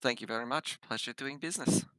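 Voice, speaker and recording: A man's voice: mid-range voice, fast, a bit bright, clean tone, no echo. A man chatting casually as a player in a tabletop role-playing game.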